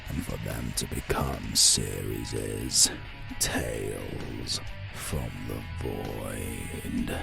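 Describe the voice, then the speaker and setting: An extremely deep, heavily gravelly otherworldly herald, solemn and grand. He delivers portentous announcements very slowly, as in a fantasy audio drama, with music playing behind him. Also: exaggerated voice. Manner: slow, theatrical, portentous. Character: ancient, solemn otherworldly void-traveling Advocate, grandly announcing. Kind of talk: theatrical scripted announcement.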